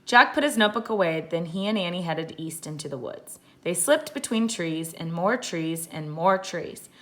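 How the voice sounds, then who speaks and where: A woman's voice: fairly low pitch, expressive, moderate pace. Woman reading a children's storybook. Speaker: teacher reading aloud.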